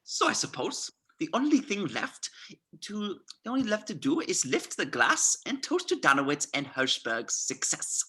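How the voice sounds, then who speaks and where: A man playing a suave, self-satisfied colonel in a script read, husky and theatrically drawn out. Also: high-pitched, huge pitch swings, moderate pace, bright, forceful tone, full character voice. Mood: smug.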